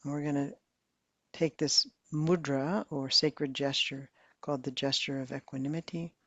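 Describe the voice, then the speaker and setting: A woman meditation teacher slowly leading a guided meditation in a soft, calm voice with long pauses. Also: extremely low pitch, no room sound, lo-fi sound.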